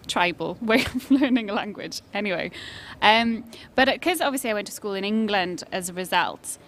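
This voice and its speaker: A woman's voice: mid-range voice, fairly bright tone. Welsh-first-language woman chatting outside, thoughtful, faint street noise. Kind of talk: casual chat. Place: outdoors on a high street.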